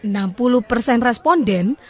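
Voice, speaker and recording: A woman's voice: fairly high pitch, expressive, normal speed, darkish tone, slightly rough. A woman speaking on a discussion panel, presenting points to the group. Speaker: panel speaker reporting a survey. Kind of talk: panel talk.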